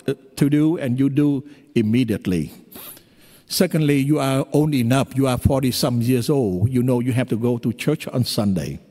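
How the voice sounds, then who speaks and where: A man with a Vietnamese accent, preaching a homily as a priest, pausing often with fillers. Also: mid-range voice, dark tone. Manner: halting, with frequent fillers. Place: church. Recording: long hall reverb in a church.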